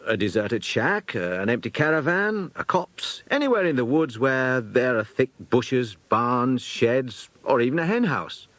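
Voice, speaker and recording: A British man voicing an eager, bossy schoolboy who leads a children's club in an audiobook, brisk and keen to organise. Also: mid-range voice, sing-song, a bit bright, dry recording, pitched above the performer's own voice. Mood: brisk, commanding.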